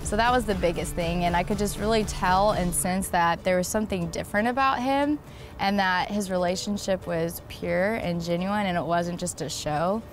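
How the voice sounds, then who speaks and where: A woman's voice: lowish voice, moderate pace, over music. A young adult woman telling her own love story in a casual, personal way.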